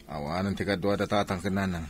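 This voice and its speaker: An actor playing a stern, bossy older brother in a radio drama, insistent and reproachful. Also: male voice, sits low, steady tone, fast, breathy edge. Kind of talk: Filipino radio drama acting.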